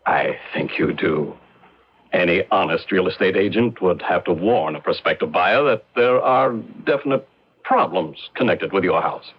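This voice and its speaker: Deep, husky real estate agent. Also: male voice, lively intonation, moderate pace, full character voice. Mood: uneasy, frank. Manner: hesitant, frank. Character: frank, uneasy male real estate agent. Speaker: radio drama actor. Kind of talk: old-time radio drama acting. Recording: dull vintage radio drama recording.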